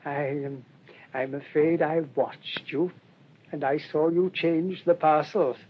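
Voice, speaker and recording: An actor playing a mild, shrewd English priest in a radio drama, speaking in a gentle, rambling, politely hesitant way. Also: male voice, steady tone, takes it slowly. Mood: mildly explaining. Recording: dull lo-fi radio recording, rumbling noise.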